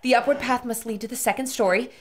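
High-voiced, keen woman adventurer, acted by a woman. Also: fairly even intonation, quick pace, a little hiss or hum, lightly acted. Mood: keen, deducing. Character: keen, sharp-witted woman adventurer reporting to listeners. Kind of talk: pulp radio adventure acting.

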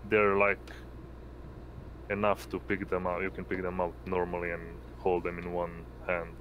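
A man running a tabletop game, narrating off the cuff in a halting way as he searches for words. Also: deep voice, monotone, highs cut off, slight background noise. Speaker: game master.

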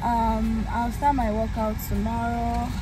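A woman's voice: fairly high pitch, flat delivery, slow, heavy background noise. Chatty Nigerian woman in her twenties. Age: 20s. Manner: chatty, self-mocking, giggly asides. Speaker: vlogger. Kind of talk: casual chatty vlog to camera. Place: inside a car on a bumpy road. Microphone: phone held at arm's length. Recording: distant phone audio under loud background music.

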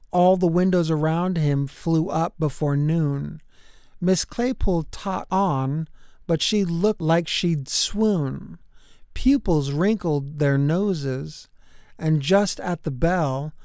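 Slow, creaky-voiced woman reading a storybook. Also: sits low, no echo, clean studio sound. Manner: expressive, easy to follow. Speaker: children's book reader. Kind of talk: rhyming storybook narration.

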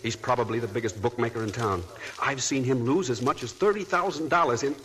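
An actor playing a weak, remorseful young husband in a 1940s radio drama, speaking earnestly and reproaching himself. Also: male voice, mid-range voice, a little fast, a bit bright, a little hiss or hum, natural voice.

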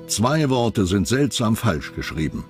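Low-pitched, animated male true crime narrator. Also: measured pace, soft background music. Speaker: documentary narrator.

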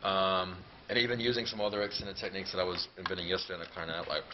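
Deep voiced guy chatting about his gear, playful, hissy muffled camera audio. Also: level tone, very fast. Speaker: clarinetist. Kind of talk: casual explanation of his instruments. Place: large rehearsal room indoors. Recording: dull lo-fi sound with noise.

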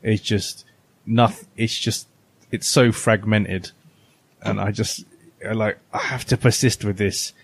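Low-voiced man, casual chat. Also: fairly even intonation, slow, gravelly, breathy edge. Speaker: podcast co-host. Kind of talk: casual film discussion.

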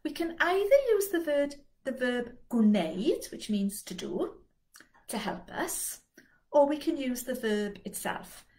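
An older woman with a South Welsh accent takes learners' questions over a home webcam. She talks slowly and patiently, repeating each phrase for her students. Her pitch moves up and down in a lively way. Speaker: Welsh language tutor. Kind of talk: online Q&A lesson. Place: home dining room with dresser. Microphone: laptop webcam video call.

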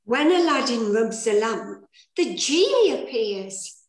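High, measured woman narrator in echoey room. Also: very animated. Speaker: director and fairy narrator. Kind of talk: rhyming pantomime narration, scripted. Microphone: computer mic on video call. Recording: echoey room on video call.

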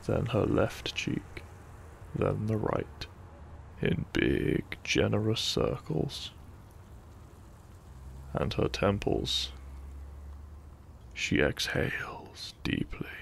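A man narrating a gentle sleep-aid story in a soothing, hushed way, lingering between short phrases. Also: fairly low pitch, vocal fry, a little hiss or hum. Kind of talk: soft, gentle bedtime story narration, ASMR-like.

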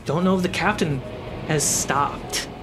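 Slow-paced man narrating a fantasy game. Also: a little high, over music. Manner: slow, suspenseful phrasing with pauses. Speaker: game master.

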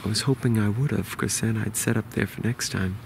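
A man in his forties with a New York accent, quietly explaining a hunt to a close-held camera outdoors in the woods. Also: steady tone, fairly quick, gravelly, noisy background. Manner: quiet, low-key explaining. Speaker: bowhunter. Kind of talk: hushed outdoor hunting vlog. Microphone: camera held close to face.

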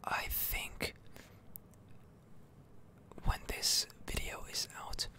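ASMR gaming host, fully whispered, bright and slow, hesitant rambling delivery. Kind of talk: whispered ASMR game commentary.

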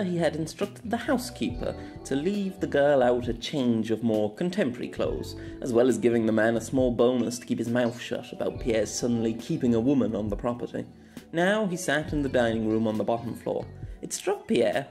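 A man narrating his own fantasy novella as an amateur audiobook, telling it in an expressive storytelling manner. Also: medium pitch.

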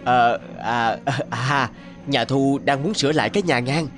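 Man voicing mid-pitched evasive young fisherman. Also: measured pace, a bit bright, close to an everyday voice. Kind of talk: animated folk-tale dubbing. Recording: music under the voice.

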